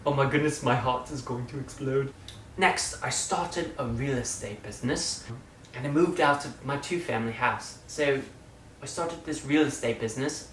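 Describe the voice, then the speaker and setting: A man hosting his own channel, chatting through a casual update in a playful, theatrical way that jumps between loud and quiet. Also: mid-range voice. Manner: slips into a put-on British-style accent at times. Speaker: channel host. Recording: roomy, distant mic, faint room noise.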